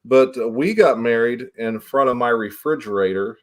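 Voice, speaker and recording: A man's voice: mid-range voice, moderate pace. A man in his forties hosting a casual chat, telling stories in a plainspoken, folksy way. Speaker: livestream host.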